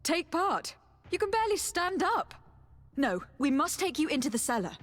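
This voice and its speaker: A woman's voice: high voice, sing-song, normal speed. An actress playing a resolute, caring young noblewoman in a fantasy game, speaking earnestly and formally. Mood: resolute, urgent. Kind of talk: dramatic fantasy game acting.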